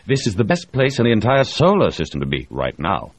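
A man voicing a clipped, placating English gentleman in an audiobook. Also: on the low side, wildly swooping pitch, brisk, no room sound, voice pushed up, full character voice. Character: stiff-upper-lip English gentleman.

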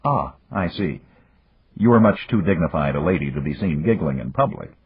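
A man voicing a suave, casual businessman in an audiobook, breezy and gallant with a gently teasing way of talking. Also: a bass voice, animated, moderate pace, slightly rough, heavily acted. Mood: charming, teasing. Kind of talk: audiobook character voice. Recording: echoey room, muffled narrow-band sound.

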